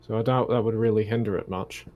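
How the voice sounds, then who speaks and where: A man's voice: on the low side, steady tone, quick pace, highs cut off, slight background noise. Man thinking aloud in a tabletop game. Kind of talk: casual chat during an online game.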